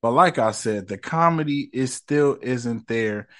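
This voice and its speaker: A man hosting a casual podcast review, talking in a loose, slangy, profane and rambling way, his voice a bit rough from smoking. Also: mid-range voice, unhurried, fairly bright tone. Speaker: podcast host.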